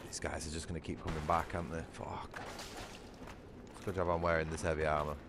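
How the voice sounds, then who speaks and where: A man's voice: extremely low pitch, flat delivery, quick pace, background noise. A man with a Manchester accent chatting bluntly and swearing while streaming a game. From England. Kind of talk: casual livestream gaming chat.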